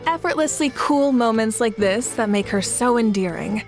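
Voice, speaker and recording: A woman playing a composed, confident young female leader in a video game, speaking in a calm, assured, measured way. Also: fairly high pitch, soft background music. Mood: reflective, calm. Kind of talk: game dialogue acting.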